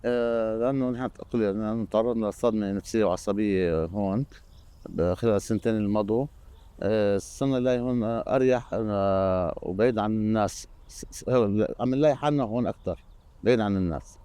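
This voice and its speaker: A man from Syria, a native Arabic speaker, giving a halting documentary interview full of pauses. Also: lowish voice, dark tone, smooth, dry recording. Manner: halting, with many pauses and fillers.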